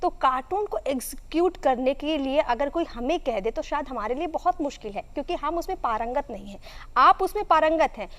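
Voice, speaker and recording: A woman's voice: sits high, animated, a little fast, a bit bright. A young woman co-hosting a show, interviewing in a conversational way. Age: young adult. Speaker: TV show co-host. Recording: long hall-like reverb.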